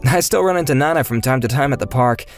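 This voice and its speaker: A man's voice: mid-range voice, fast, faint music behind. A man narrating a scripted first-person story as its narrator.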